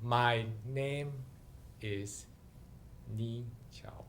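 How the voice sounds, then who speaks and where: A man's voice: mid-range voice, extremely slow, fairly bright tone. A man playing an earnest, honest young hero in a fairy tale. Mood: weak, timid.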